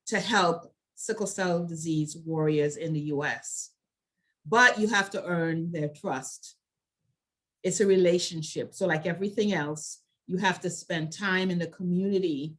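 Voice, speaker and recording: A woman speaking as a health advocate in a prepared talk, with deliberate, emphatic and measured phrasing. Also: lowish voice. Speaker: health advocacy organization leader and panel speaker.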